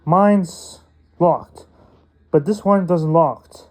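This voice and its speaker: Male unboxing vlogger, slightly high pitch, very slow and halting, casual demonstration style. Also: a little mellow. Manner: halting, short phrases, non-standard grammar. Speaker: unboxing video creator. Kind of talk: casual unboxing vlog, talking through a demonstration.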